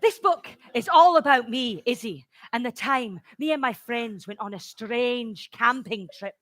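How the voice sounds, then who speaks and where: A woman playing an excitable, bossy schoolgirl narrator, telling a children's story with theatrical emphasis. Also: a little high, measured pace, no echo. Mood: storytelling.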